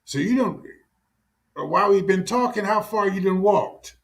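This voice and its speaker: A man's voice: high-pitched, animated, unhurried, gravelly. A middle-aged American man hosting a casual chat, talking slangily and often repeating his words. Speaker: talk show host. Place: small room indoors.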